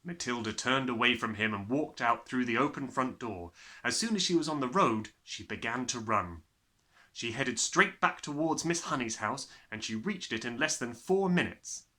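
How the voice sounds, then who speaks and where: A male audiobook reader narrates a children's story expressively. His voice is strikingly bright and forceful, with animated, wide-ranging intonation. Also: mid-range voice, normal speed.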